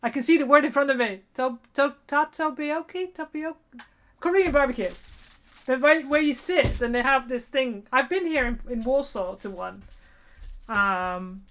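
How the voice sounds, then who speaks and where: Rambling woman streamer, slightly high voice. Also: moderate pace, a bit bright, a little hiss or hum. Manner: rambling, self-interrupting, laughs at herself. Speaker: cosplay streamer. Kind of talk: casual live-stream chat while sewing.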